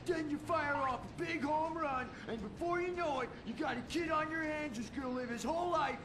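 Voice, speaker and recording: A man voicing a preachy young superhero boy in a satirical cartoon, lecturing like a hard-boiled tough guy. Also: fairly quick. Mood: menacing. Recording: clearly noisy, rumble behind.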